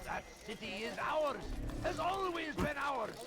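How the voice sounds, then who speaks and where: A man's voice: very high voice, animated, a little slow. A man playing an urgent orator who declaims warnings of war to his city in a video game. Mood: urgent, rousing. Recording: very noisy street ambience behind.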